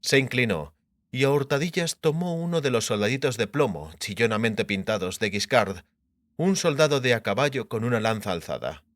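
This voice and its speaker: Male narrator for literary fiction, medium pitch, dry studio-close sound, scripted delivery. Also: lively intonation, moderate pace, a bit bright, dry recording. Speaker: audiobook narrator.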